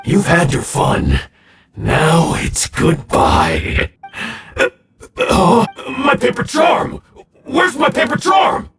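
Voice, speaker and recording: A man playing a smug, scheming, excitable villain in a video game, theatrical and taunting, with a gravelly voice. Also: high voice, takes it slowly, a little hiss or hum, full character voice. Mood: panicked. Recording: echoey, slightly distant, music behind.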